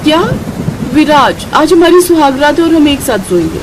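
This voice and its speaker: A woman's voice: sits high, expressive, moderate pace, background noise, voice pushed up. A woman voicing a proud, fussy young bride in a family story, questioning and indignant.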